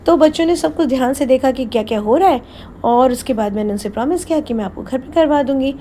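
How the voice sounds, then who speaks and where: A woman's voice: high voice, quick pace, mellow. A woman narrating a family vlog, mixing English phrases into her speech. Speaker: family vlogger. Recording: background noise behind voice.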